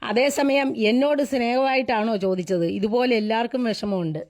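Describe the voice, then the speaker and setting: A woman's voice: fairly high pitch, moderate pace, noisy background. A woman with a Kerala Malayali accent argues over a recorded phone call, insistent and protesting, often repeating herself. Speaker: local party worker. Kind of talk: heated phone argument. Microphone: telephone.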